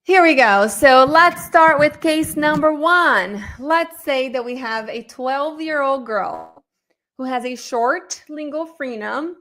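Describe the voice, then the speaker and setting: A middle-aged woman from Brazil, a native Portuguese speaker, hosting an informal live online lesson in a chatty, animated way that addresses viewers directly. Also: sits high, slow pace. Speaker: course instructor and webinar host.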